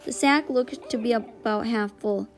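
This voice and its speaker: A woman's voice: fairly high pitch, unhurried. A woman reading a storybook aloud as scripted narration. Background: music.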